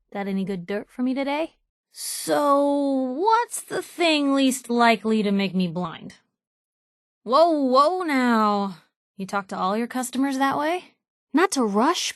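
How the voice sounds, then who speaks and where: A woman voicing a sharp-witted, idealistic young female reporter companion in a video game, wry and conversational. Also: on the high side, dry recording, clean studio sound. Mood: sassy.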